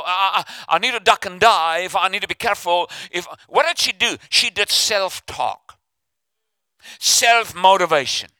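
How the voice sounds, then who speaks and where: An older man preaches with fervour, his voice high, very bright and smooth. He calls on the church to answer back and slips into the inner voices he describes, all recorded close and dry. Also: no room sound. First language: Afrikaans. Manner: fervent, calls for responses, acts out inner voices. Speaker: pastor. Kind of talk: sermon.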